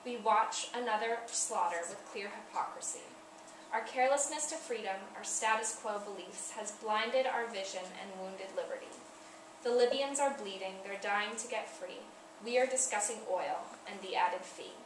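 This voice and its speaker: A woman declaims verse in a mid-pitched voice with earnest delivery. The sound is roomy and echoing, with clear noise behind her. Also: moderate pace. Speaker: poetry reader. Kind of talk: poetry recitation. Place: echoey indoor event room, far from mic. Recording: distant mic, echoey room, loud background noise.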